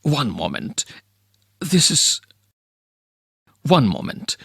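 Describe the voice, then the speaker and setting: Man voicing a jittery suitor, stammering, slow, dry sound. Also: a little high, big pitch swings, creaky, fairly bright tone, breathy edge, dry recording, heavily acted. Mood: nervous. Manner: stammering, hesitant. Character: nervous stammering young male suitor.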